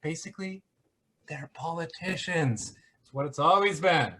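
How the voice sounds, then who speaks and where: A man's voice: fairly high pitch, a little slow, pushed and bright. A man playing a smug, flippant internet conspiracy grifter who mocks theatrically in a one-act play. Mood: cynical, flippant. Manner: sarcastic, mocking, theatrical.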